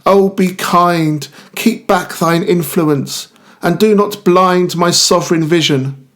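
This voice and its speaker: A man's voice: high-pitched, slow, fairly bright tone. A man voicing a lovestruck young man who rapturously addresses the moon in declaimed verse. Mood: yearning, pleading. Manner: ardent, declaimed. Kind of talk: poetic monologue in verse recital.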